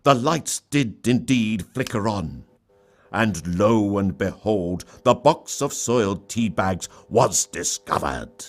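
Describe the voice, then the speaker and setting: A man reading a story as a dramatic narrator, with a theatrical, mock-grave delivery and deliberate dramatic pauses. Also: fairly low pitch, lively intonation.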